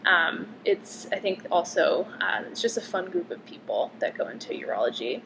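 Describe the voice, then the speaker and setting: A woman's voice: fairly low pitch, big pitch swings, normal speed, creaky, noisy background. A woman in her twenties from Connecticut, a medical student answering interview questions casually, with lots of "um" and "like". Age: 20s. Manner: chatty, frequent "um" and "like" fillers.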